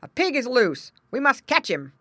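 Woman putting on a loud, brisk farmer. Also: high voice, very animated, no room sound, clean studio sound, pitched above the performer's own voice, full character voice. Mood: alarmed, decisive. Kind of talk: children's storybook character voice.

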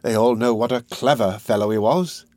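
A man voicing a wily, quick-tempered old gang master in a storybook reading, with a drawn-out, coaxing delivery. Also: medium pitch, animated, creaky, dry recording, clean studio sound. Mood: soothing, coaxing. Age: older.

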